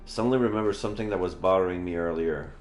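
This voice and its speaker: A man's voice: sits low, quick pace, a little mellow, faint music behind. A man reading a visual novel aloud on a livestream, casually adding his own reactions as he goes. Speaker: live streamer. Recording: echoey room.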